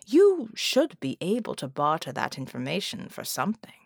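A woman's voice: on the low side, huge pitch swings, moderate pace, creaky, husky, no echo, clean studio sound, exaggerated voice. A woman voicing a sly, taunting shapeshifter villain in an audiobook, with an insinuating delivery. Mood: calculating.

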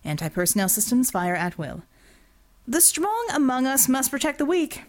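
A woman voicing a smooth-talking male boss who orates slowly like a politician, in an audiobook. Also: sits high, big pitch swings, voice pushed up. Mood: grandiose, measured. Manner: slow, politician-like oratory.

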